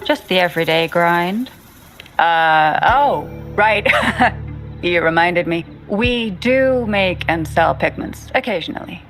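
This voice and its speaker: A woman's voice: lowish voice, expressive, slow pace, fairly bright tone, faint music behind, low-quality recording. Blunt, offhand streetwise woman. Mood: offhand, casual. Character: gruff, streetwise woman exile with shady dealings. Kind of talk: English video game voice acting.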